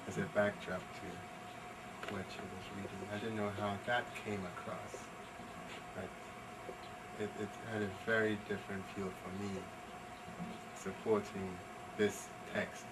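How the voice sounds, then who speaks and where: A man speaking informally in a group discussion at a live library event. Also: fairly low pitch. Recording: very noisy live room recording.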